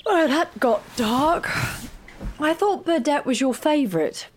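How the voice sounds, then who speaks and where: Sharp-witted, anxious writer, audio drama: highish, expressive, blunt. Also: female voice, a little slow, a little hiss or hum. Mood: wry. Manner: blunt, dry, fretful. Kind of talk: naturalistic audio fiction acting.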